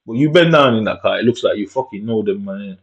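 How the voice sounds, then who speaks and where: A man's voice: fairly low pitch, animated, quick pace. A man telling a story in a casual monologue, in streetwise slang, swearing and tagging lines with "innit". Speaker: vlogger. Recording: dull lo-fi recording.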